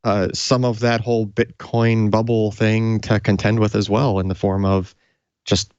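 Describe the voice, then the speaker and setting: A man's voice: on the low side, level tone, a little slow, a little mellow, clear, resonant tone, dry recording, muffled recording. A man co-hosting a tech podcast, giving casual commentary in a rambling, conversational way. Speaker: podcast co-host.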